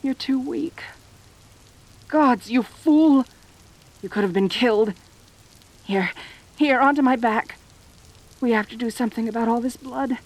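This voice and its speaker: A woman's voice: a little high, measured pace, pauses often, poor audio. A woman playing a lonely, possessive centaur girl in an intimate ASMR roleplay, tender and anxious, with a hesitant, pleading manner. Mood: anguished, tender.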